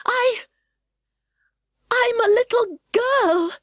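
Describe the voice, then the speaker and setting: A woman's voice: extremely high pitch, very slow, no echo, studio quality, pitched above the performer's own voice, full character voice. A woman voicing an earnest, polite and puzzled little girl in a storybook, speaking properly. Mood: doubtful. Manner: proper, earnest, hesitant when challenged.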